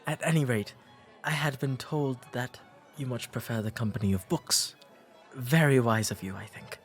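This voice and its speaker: Man playing a courteous English period gentleman, music behind. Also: medium pitch, moderate pace, lightly acted. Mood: apologetic, gently teasing. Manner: formal, polite old-fashioned diction with hesitant, gentle delivery. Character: courteous, shy, bookish 19th century English gentleman, gently fond. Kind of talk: romantic period roleplay audio drama.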